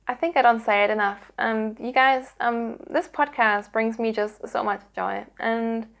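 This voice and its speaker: A woman hosting her own podcast, chatting casually to her audience in a rambly, earnest way. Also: mid-range voice. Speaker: podcast host. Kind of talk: casual chatty vlog to viewers.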